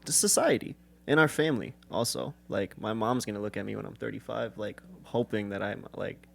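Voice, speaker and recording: A man's voice: lowish voice, lively intonation, a little fast, vocal fry. A young man co-hosting a podcast, talking in an earnest, rambling, slangy way. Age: young adult. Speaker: podcast co-host. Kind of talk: casual chat.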